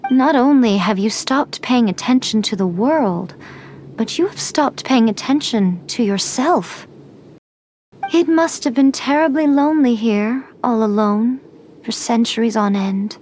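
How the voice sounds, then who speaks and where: A woman voicing a sly, teasing immortal fox spirit shrine maiden in an anime fantasy game, with a silky, deliberate delivery. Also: fairly high pitch, a little mellow, slight background noise, close to an everyday voice. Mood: gently probing.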